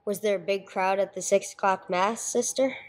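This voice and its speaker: A woman's voice: mid-range voice, fairly even intonation, normal speed, natural voice. A woman playing a no-nonsense police sergeant who narrates a case in a radio play, clipped and matter-of-fact. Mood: businesslike, probing. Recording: clear background noise, room ambience.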